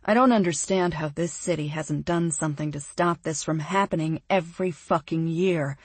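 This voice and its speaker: A woman voicing a blunt businessman who talks in a hushed, gruff way. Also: on the low side, a little slow, no room sound. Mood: disgusted. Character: brusque businessman, blunt and profane.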